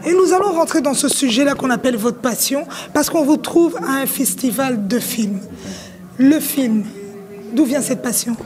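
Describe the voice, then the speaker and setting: A woman's voice: high-pitched, normal speed, a little mellow, faint music behind. A woman working as a web TV presenter, introducing and interviewing a guest on location. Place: film festival in Brussels.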